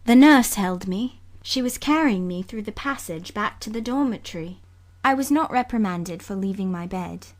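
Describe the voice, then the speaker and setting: A woman reading literary narration for an audiobook in a measured, sombre storytelling manner. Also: medium pitch, animated.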